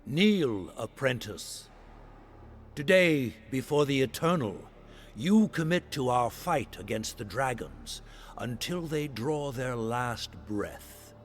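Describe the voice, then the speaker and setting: An actor playing a solemn high priest in a fantasy game, with a ceremonial, declamatory manner. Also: male voice, big pitch swings, takes it slowly, slight background noise. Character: solemn high priest of a dragon-hunting order. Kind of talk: dramatic fantasy game acting.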